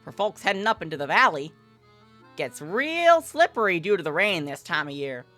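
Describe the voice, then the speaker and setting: A woman's voice: lowish voice, big pitch swings, normal speed, a bit bright, soft background music. Woman putting on a cheerful, folksy country farmer man. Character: cheerful folksy male farmer trucker, hearty country talker. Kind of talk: visual novel character read-aloud.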